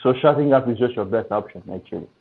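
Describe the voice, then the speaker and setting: Panelist, male, from Central Africa; steady explainer, heard over a thin phone-quality call. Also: medium pitch, fast talker. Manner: thoughtful, explanatory, restarts mid-phrase. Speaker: discussion panelist. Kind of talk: casual live-stream discussion. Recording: tinny phone-like line.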